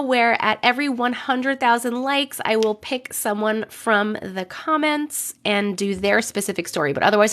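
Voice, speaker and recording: Young woman on a live stream, kinda higher voice, bit rough from a cold, chatty and greeting people. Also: a bit bright. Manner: chatty, greets viewers by name between sentences. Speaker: TikTok live streamer reading viewer stories. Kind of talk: casual live stream chat and story reading.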